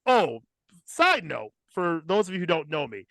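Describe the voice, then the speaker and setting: A man's voice: on the high side, big pitch swings, moderate pace, gravelly, pushed and bright, no echo. A man hosting a podcast, telling a personal story in a casual, profane and emphatic comic style.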